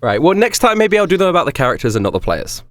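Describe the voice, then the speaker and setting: A man's voice: a little high, racing. A man acts as game master and host of a tabletop session. His voice sits a bit above average and swings about a lot. He banters casually and giggles easily. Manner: giggly, animated.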